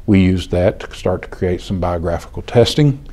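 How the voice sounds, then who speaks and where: Mature American male, very deep and mellow, measured interview explainer with deliberate phrasing. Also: lively intonation. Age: middle-aged or older. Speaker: healthcare administrator, retired air traffic control official.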